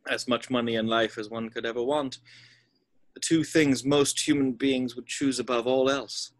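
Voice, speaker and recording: Male actor as elderly wizard, gentle, wise, mid-pitched, measured delivery, deliberate pauses, fantasy table read. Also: fairly even intonation, lightly acted. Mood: serene.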